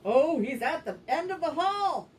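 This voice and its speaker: A woman performing scripted puppet show narration, telling things in an animated way for children, recorded at some distance from the microphone. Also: sits high, a little slow. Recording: distant microphone, faint background noise.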